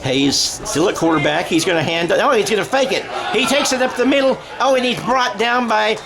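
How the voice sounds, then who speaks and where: A man doing radio-style football play-by-play, excitable, thinking aloud and correcting himself as he follows the action. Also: sits high, a little fast, music in the background.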